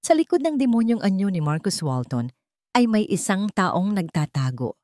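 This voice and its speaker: A woman's voice: mid-range voice, big pitch swings, normal speed, darkish tone, dry recording, studio quality. A woman storyteller reading a scripted romance story in a dramatic narrating style.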